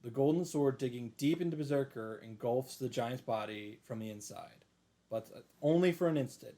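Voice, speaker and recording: A man's voice: medium pitch, normal speed, warm tone. A man reading visual novel narration aloud in a dramatic style, reacting off the cuff.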